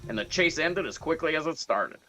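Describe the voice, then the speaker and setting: Bright-voiced man as a 1940s radio detective. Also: fairly high pitch, expressive, a little fast, faint music behind, poor audio. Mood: matter-of-fact. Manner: matter-of-fact, clipped storytelling. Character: hard-boiled 1940s private detective recounting his case. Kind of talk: 1940s radio noir narration.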